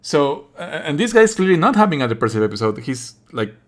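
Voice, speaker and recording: A man's voice: a little high, sing-song, fairly quick. A man giving casual, mocking let's-play commentary as a livestreamer. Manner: sarcastic, mocking. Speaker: livestreamer playing a game. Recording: faint game music behind. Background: game music.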